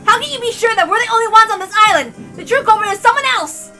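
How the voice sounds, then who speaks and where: Woman acting a frantic, very high-pitched young defender. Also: expressive, fast, pushed and bright, exaggerated voice. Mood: insistent. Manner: frantic, insistent, exclamatory. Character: hot-headed, desperate young defender arguing against accusers. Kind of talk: dramatic visual novel read-aloud. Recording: music behind the voice.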